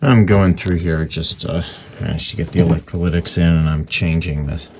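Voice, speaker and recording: A man giving casual, hands-on repair commentary in a gravelly, husky voice, thinking aloud informally as he works. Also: sits low, steady tone, moderate pace, slight background noise. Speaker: radio repairer presenting his restoration.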